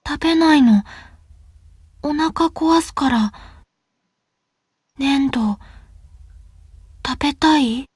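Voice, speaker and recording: A woman playing an unworldly, childlike high school girl in a visual novel, speaking softly in hesitant, halting phrases. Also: dry recording, studio quality. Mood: softly earnest. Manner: hesitant, soft-spoken, short halting phrases.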